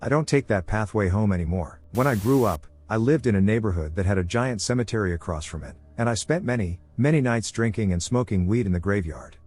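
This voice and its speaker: A man reading scripted scary stories aloud in a measured way, slowing down for suspense, on a close studio mic. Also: lowish voice, expressive, a little mellow. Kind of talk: scripted horror story narration.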